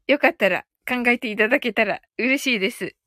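A woman's voice: fairly high pitch, brisk, bright, forceful tone, dry recording, studio quality. A woman hosting a casual live audio stream, thinking aloud in a giggly, cheerful way as she chats and reads out comments.

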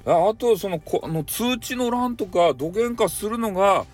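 A man's voice: high voice, expressive, normal speed, faint music behind, voice pushed up. Man playing a hot-headed boss, raised voice, Hakata dialect. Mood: irritated, demanding. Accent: Hakata, Fukuoka. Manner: scolding, blunt, demanding. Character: hot-headed bossy male company boss with a flirty streak. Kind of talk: amateur comic radio drama.

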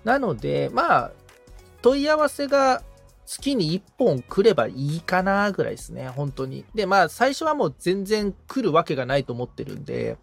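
Lively-toned, agreeable man chatting. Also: high-pitched, moderate pace, gravelly, faint music behind. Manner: agreeable, frequent backchannels, reflective. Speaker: podcast assistant, client being coached, guitar repairer. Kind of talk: casual conversational consultation.

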